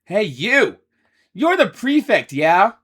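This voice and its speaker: A man voicing a brash, hotheaded teenage boy student who yells in a very high voice. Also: big pitch swings, slow, heavily acted. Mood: angry, shouting. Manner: hotheaded, yelling.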